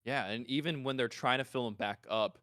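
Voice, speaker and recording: A man co-hosting a podcast, talking casually and informally in an animated way. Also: medium pitch, brisk, dry recording, clean studio sound. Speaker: podcast co-host.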